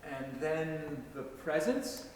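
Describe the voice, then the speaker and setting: Man, slow short prompts, dramatic reading, questioning listener, long hall reverb, faint noise. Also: fairly high pitch, expressive. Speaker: reader playing a questioning listener. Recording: very echoey hall, distant mic, faint noise.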